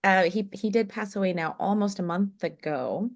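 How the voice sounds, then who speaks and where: A woman's voice: medium pitch, moderate pace. A woman in her thirties, a jazz singer, chatting in a podcast interview, with lots of fillers and easy laughs. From Oklahoma, USA. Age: 30s. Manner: chatty, warm anecdotes with many fillers and laughs.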